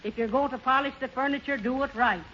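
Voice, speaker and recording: A practical wife who scolds in a folksy way. It is 1930s radio comedy acting. The recording is lo-fi and muffled, with slight noise underneath. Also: female voice, sits high, quick pace, fairly bright tone. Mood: scolding.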